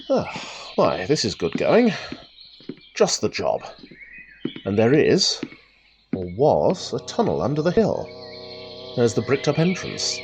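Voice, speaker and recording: A man playing an excitable, musing rambler. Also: mid-range voice, slow pace, long pauses, faint music behind, heavily acted. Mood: musing, observant. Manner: musing, old-fashioned British idioms, exclamatory. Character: chatty male country rambler talking to himself, wry and excitable. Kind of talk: radio drama monologue, thinking aloud.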